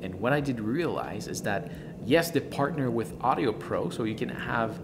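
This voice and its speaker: A man who is a gives a casual product review in an enthusiastic, emphatic, halting way, often repeating words. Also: mid-range voice, expressive, gravelly, noisy background.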